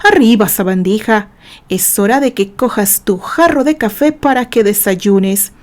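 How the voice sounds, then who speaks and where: A woman's voice: medium pitch, unhurried, a little mellow, close to an everyday voice. A woman reading the part of a rude male prison guard who barks at a prisoner. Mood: scornful.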